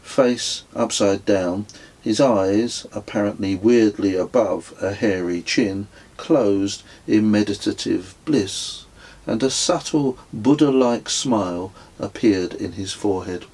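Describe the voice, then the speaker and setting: A man reading his own literary travel story as scripted narration, in a measured, descriptive way. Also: on the low side, darkish tone, slightly rough, poor audio. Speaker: author reading own book.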